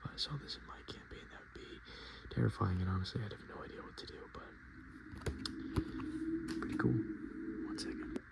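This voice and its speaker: A man speaking softly and often whispering in a casual ASMR style, with hesitant, halting, murmured delivery. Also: sits low, animated, vocal fry. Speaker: ASMR video host. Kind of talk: soft-spoken and whispered ASMR, casual commentary. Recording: noticeable background noise.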